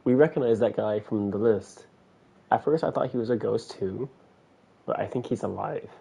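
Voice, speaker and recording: A man giving casual play-along commentary as a game streamer, halting as he thinks aloud. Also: on the low side, gravelly, warm tone, slightly rough.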